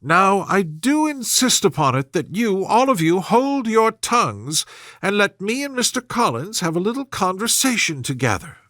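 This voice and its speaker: A querulous middle-aged mother from a period storybook, acted out by a male reader. The voice is pitched high and swings up and down with fretful, plaintive self-pity. It scolds and complains melodramatically, in a dry recording. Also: very animated, normal speed, fairly bright tone, no room sound. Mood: bossy.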